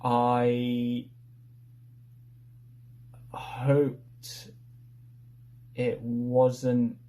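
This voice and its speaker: A man retelling a story from memory in a halting, unsure manner, piecing sentences together bit by bit. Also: mid-range voice, completely flat delivery, dark tone. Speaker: participant in a story-retelling game.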